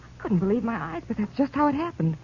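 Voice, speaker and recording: An actress playing a shaken American crime witness in a radio drama, speaking plainly in a hesitant, nervous way. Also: female voice, a little high, very animated, breathy edge, exaggerated voice. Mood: distressed. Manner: halting, nervous, plain-spoken. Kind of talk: 1950s radio drama acting. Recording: muffled, noisy old-time radio.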